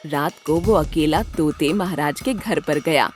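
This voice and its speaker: A woman storyteller giving an expressive, scripted narration of a children's cartoon tale. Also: deep voice, a little slow, soft background music.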